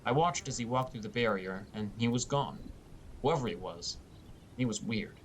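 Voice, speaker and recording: A man narrates a first-person fantasy audiobook in a mid-pitched voice. There is faint rumbling noise in the recording. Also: expressive, normal speed.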